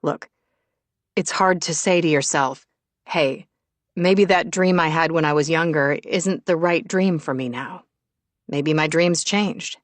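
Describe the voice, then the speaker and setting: Woman voicing a low, calm, reassuring man. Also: unhurried, dry recording. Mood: gentle, searching. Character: warm, patient, wry man, calmly reassuring. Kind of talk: audiobook character dialogue.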